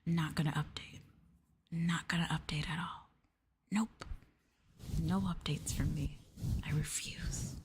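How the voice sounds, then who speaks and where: A woman streamer talking softly and gently in an ASMR live chat, often dropping into a whisper, close to the microphone. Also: low voice, fairly even intonation, slow, pauses often. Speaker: VTuber streamer. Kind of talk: soft-spoken ASMR livestream chat.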